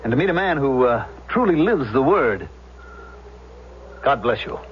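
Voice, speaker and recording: A man's voice: medium pitch, very animated, a little slow. An actor playing an outwardly mild, evasive man in a 1970s radio drama, speaking politely and a little hesitantly. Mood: ingratiating. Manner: polite, hesitant, smooth-talking. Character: outwardly mild, evasive husband and secret firebug. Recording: slightly noisy, dull vintage radio.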